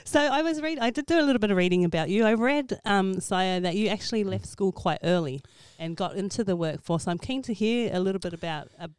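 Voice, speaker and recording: Upbeat New podcast host, smooth voice. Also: male voice, high-pitched, lively intonation, a little fast, few pauses, no echo. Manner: upbeat, friendly and teasing. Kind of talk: casual interview chat.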